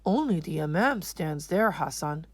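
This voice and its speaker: A woman playing a calm, instructive father in a children's storybook, explaining in a measured way. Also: deep voice, no room sound.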